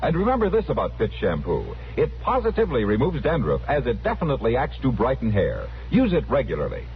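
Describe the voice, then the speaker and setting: Old time radio announcer guy, polished, pitch swings a lot, muffled with music. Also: huge pitch swings, quick pace, a little hiss or hum. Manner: polished, declamatory. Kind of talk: 1950s radio announcing, scripted. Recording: dull vintage radio recording with music.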